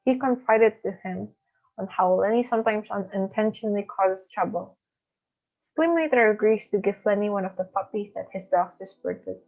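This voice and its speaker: A young woman from Indonesia reads a prepared story summary aloud at a medium pitch. The recording is narrow and phone-like, with music playing behind her voice. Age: young adult. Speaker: student reader. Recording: thin phone-like audio with background music.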